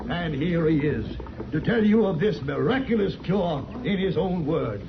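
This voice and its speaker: Casting: male slick traveling quack, high voice, florid declamation, vintage radio western with muffled sound. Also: expressive, moderate pace, creaky, background noise. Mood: solemn buildup. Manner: florid, declamatory sales oratory. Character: pompous traveling medicine-show quack professor, slick and theatrical. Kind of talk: old-time radio drama acting. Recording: dull old radio recording, crowd behind.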